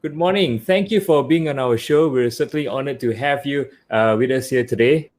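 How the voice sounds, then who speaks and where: A man's voice: medium pitch, normal speed, a little mellow. A man speaking as a podcast host in a conversational interviewing style.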